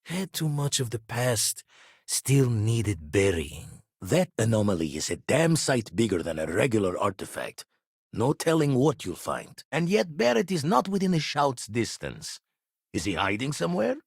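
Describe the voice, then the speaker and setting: A man voicing a weathered, shrewd ex-pirate spacefarer in a video game, guarded but loyal, with a husky voice and theatrical, slangy turns of phrase. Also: medium pitch, sing-song, moderate pace, dry recording, studio quality, heavily acted. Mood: wry, reflective. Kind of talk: scripted game character dialogue.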